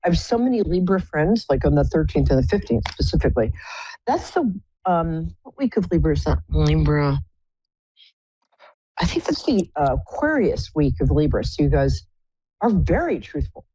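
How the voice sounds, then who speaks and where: A woman's voice: big pitch swings, moderate pace, warm tone, slightly rough, no room sound, lo-fi sound. Effusive contralto woman psychic host. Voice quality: low contralto voice. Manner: chatty, effusive, calls callers pet names. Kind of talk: live call-in psychic reading.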